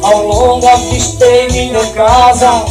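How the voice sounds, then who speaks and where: A man singing a brega song in slow, drawn-out phrases, from a recording played from far off. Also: very high voice, level tone, reverberant, over music. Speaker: singer.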